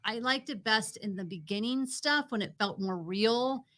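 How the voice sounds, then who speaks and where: A woman's voice: fairly high pitch, moderate pace, fairly bright tone. A woman co-host chatting casually and with firm opinions as she reviews entertainment. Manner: opinionated, conversational, argues her point. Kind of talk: casual film and book review chat.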